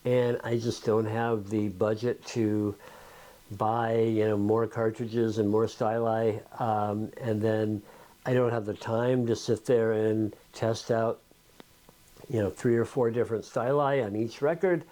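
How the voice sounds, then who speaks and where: A man's voice: on the low side, steady tone, unhurried, mellow. A man hosting a video, talking informally to viewers in an explanatory, conversational tutorial style. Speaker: video host.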